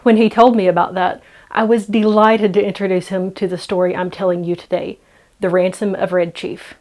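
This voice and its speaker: A woman's voice: medium pitch, flat delivery, moderate pace, a little mellow. A woman storyteller narrating in a dramatic, scripted read-aloud style.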